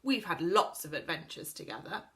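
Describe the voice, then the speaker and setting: A woman's voice: low-pitched, sing-song, normal speed, sharp tone, heavily acted. A woman voicing a friendly, sensible young boy who patiently explains things.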